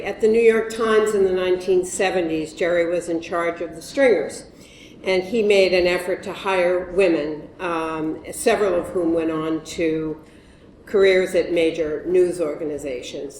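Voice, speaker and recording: A woman's voice: fairly low pitch, fairly even intonation, moderate pace, a little mellow, a little hiss or hum. A woman giving short, plainspoken remarks to present a scholarship in a luncheon hall. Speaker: award presenter, widow of the fellowship's namesake. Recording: echoey banquet room, faint ambience.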